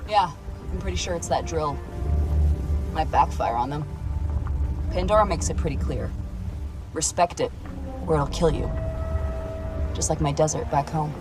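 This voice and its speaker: An actor playing a weary, reflective pilot from a desert homeland, calm and frank, in a video game cutscene. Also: male voice, a little high, measured pace, long pauses, lightly acted. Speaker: game character. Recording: game cutscene with loud music.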